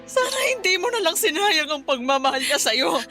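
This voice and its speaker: High, sharp, furious grieving mother. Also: big pitch swings, brisk, soft background music, full character voice. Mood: anguished accusing. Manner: tearful, accusing, shouting outbursts. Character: grieving, furious middle-aged mother blaming a young woman. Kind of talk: Tagalog radio drama acting.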